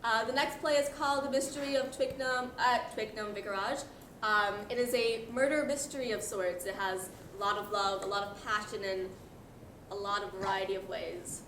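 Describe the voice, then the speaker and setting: A woman's voice: mid-range voice, steady tone, a little fast, a bit bright. A young woman giving an informal stage introduction as a student presenter. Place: theatre stage. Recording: echoey noisy hall, distant microphone.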